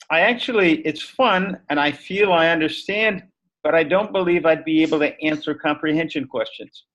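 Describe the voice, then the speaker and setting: A man's voice: fairly high pitch, fairly bright tone, rough recording. A man teacher giving a thoughtful, explanatory answer in an online discussion over a video call.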